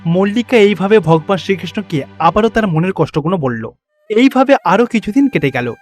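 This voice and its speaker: Guy narrating a kids cartoon story, high voice for a man, soft music behind. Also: animated, moderate pace.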